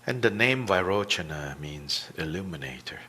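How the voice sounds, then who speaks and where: A man teaching a guided meditation, speaking deliberately in short phrases with long pauses between them. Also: deep voice, expressive, sharp tone, slight background noise.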